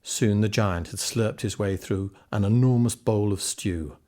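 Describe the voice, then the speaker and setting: A man narrating a children's fairy tale in an expressive, scripted storytelling style. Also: lowish voice, unhurried. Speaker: audiobook narrator.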